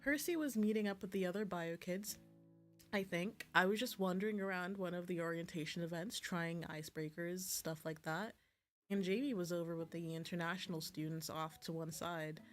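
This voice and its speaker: A woman's voice: on the low side, fairly even intonation, normal speed, natural voice. A woman voicing a young, nerdy, easygoing male student in a visual novel, talking in casual slang. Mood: chatty. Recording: music playing behind the voice.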